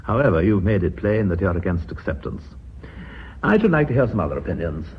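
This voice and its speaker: An actor playing a formal, authoritative board chairman in a British radio drama, speaking in measured, long sentences. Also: low-pitched, very animated, breathy edge, heavily acted. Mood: brisk, presiding. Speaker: company chairman. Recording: muffled, band-limited old radio recording.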